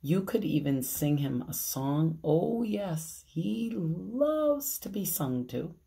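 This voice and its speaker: Low female voice, middle-aged, very slow pace, children's storyteller, dramatic pauses, stretched emphasis. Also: big pitch swings, vocal fry, warm tone. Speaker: pastor reading children's stories. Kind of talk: expressive bedtime storytelling for children. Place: small room at home, indoors. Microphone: phone at arm's length.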